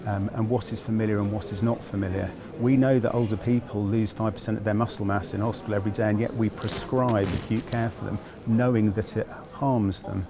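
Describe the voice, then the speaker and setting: Lower-voiced man over crowd chatter. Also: fairly even intonation. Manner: measured, argues with figures. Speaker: health technology executive. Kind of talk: panel interview at an event. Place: conference event. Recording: busy crowd noise behind.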